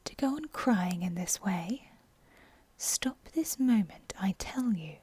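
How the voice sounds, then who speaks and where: A woman's voice: mid-range voice, lively intonation, slow, mellow, husky, dry recording, full character voice. A woman voicing a curious, dreamy young girl from a storybook, talking to herself in exclamations and musings. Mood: scolding. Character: curious, dreamy, self-scolding young girl, prone to chatter.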